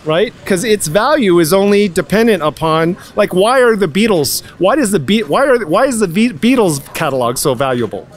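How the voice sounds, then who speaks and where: A man's voice: high-pitched, very animated, normal speed, hardly any pauses. A young man in a street interview at night outdoors, musing philosophically and asking rhetorical questions into a handheld mic. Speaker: passerby. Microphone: handheld mic held by interviewer.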